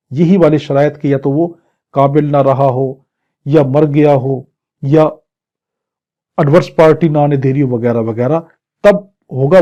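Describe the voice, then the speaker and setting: A man teaching law for an exam, reading statute text slowly in English and then explaining it in Urdu with quizzing questions. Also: on the high side, mellow, dull audio. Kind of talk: recorded exam-prep lecture.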